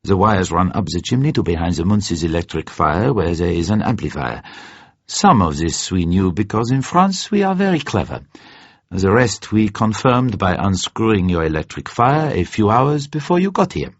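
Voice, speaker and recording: A man reading an audiobook in character as a jovial, theatrical French secret agent, animated and conspiratorial, savouring his words. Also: deep voice, moderate pace, husky, no room sound, muffled recording, exaggerated voice. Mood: earnest, confiding.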